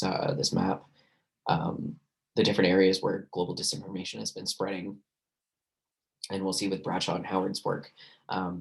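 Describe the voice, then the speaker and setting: A man lecturing on a recorded class, hoarse and losing his voice, talking loosely with many fillers and restarts. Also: sits low, rough recording. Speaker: university lecturer.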